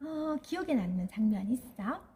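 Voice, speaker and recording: A woman working with a reading program, gently quizzing a child about books and encouraging her. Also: on the high side, very animated, normal speed. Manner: gentle, encouraging, soft-spoken.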